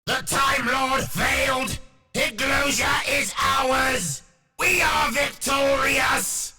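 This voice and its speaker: A man voicing a ruthless alien machine warlord, declaiming slowly and harshly, syllable by syllable, through a grating metallic robot filter. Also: extremely high pitch, steady tone, roomy sound, over music, pitched above the performer's own voice, exaggerated voice. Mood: triumphant. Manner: slow, shouted, syllable-by-syllable declaiming.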